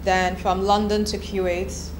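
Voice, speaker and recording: A woman's voice: mid-range voice, little pitch movement, unhurried. A woman in her thirties, from Nigeria, answering interview questions in a candid, chatty way with restarts. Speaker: copywriter, interviewee. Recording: echoey room with background noise.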